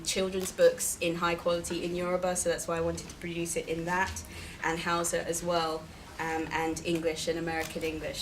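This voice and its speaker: A woman's voice: lowish voice, a little hiss or hum, low-quality recording. A woman children's book author answering questions at a live event, chatty and friendly, with lots of fillers as she explains. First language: Igbo. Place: event venue with an audience.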